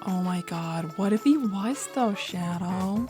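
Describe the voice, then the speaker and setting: A woman's voice: medium pitch, slow, lightly acted. A woman voicing a flirty, teasing, confident female bat in a cartoon fan dub. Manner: teasing, playful, sly. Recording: background music under voice.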